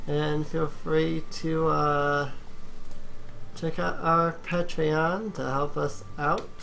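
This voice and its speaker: A game player hosting a casual let's play, talking in a hesitant, halting way with a co-player. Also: medium pitch, fairly even intonation, creaky, fairly bright tone, slight background noise. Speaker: host of a gaming video, player.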